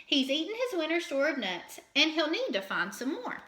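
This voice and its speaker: A woman's voice: high-pitched, huge pitch swings, normal speed, sharp tone, full character voice. Storybook porcupine, male, read by a woman: sleepy, sad, resigned, in an echoey room. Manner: resigned, snuffling.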